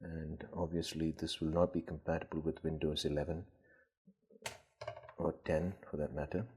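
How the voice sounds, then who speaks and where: Deep husky man, casual unboxing. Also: flat delivery, normal speed, darkish tone. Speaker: gadget collector and video host.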